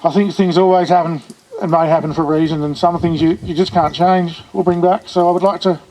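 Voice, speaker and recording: A high, flat-toned man speaks at a funeral for his childhood friend. His delivery is earnest and halting, with frequent restarts. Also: poor audio. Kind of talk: funeral eulogy.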